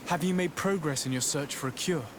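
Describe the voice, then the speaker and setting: Measured, courteous nobleman played by a man. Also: fairly high pitch, noisy background, natural voice. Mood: calm, inquiring. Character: courteous, discreet male noble envoy in a fantasy colony. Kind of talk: scripted game cutscene acting.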